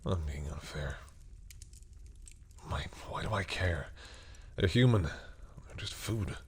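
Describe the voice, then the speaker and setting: Man playing a deep-voiced, haughty vampire. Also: animated, slightly rough, no echo, heavily acted. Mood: conflicted, subdued. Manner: haughty, deliberate, often drops into hushed brooding. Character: commanding male vampire, menacing yet reluctantly caring toward humans. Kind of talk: dramatic audio roleplay addressed to the listener.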